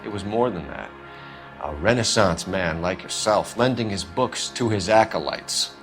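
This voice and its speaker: A man's voice: fairly low pitch, lively intonation, measured pace, a bit bright, faint music behind. An actor playing an earnest police homicide detective in a 1940s crime game, formal and pressing in his questioning. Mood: accusing.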